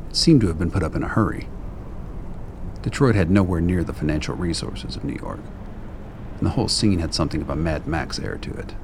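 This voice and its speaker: A man reading scripted narration for a radio play, telling the story as its narrator. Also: sits low. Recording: clear background ambience noise.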